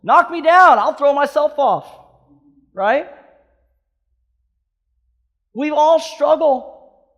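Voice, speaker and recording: A pastor in his thirties from Hawaii, preaching a sermon with impassioned swings between loud emphatic peaks and soft hushed stretches. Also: very high-pitched, animated, drawn-out words, long pauses. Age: 30s.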